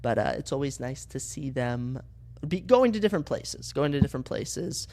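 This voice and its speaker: A man's voice: mid-range voice, expressive, moderate pace, a little mellow. A young man from Minnesota hosting a casual solo sports podcast, sounding stuffy from a cold and rambling with plenty of fillers and restarts. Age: young adult. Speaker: podcast host.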